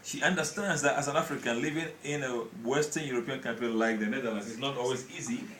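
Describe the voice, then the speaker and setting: A man's voice: medium pitch, steady tone, quick pace, fairly bright tone. Man, radio host, hesitant, roomy echo, faint noise. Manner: hesitant, many fillers. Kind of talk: radio interview.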